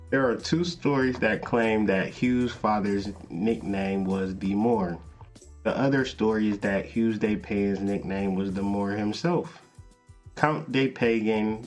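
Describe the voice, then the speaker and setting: Low-voiced man reading with slangy asides. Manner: reads passages aloud, then breaks off into slangy asides to listeners. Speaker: podcast host. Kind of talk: casual lecture reading from a text.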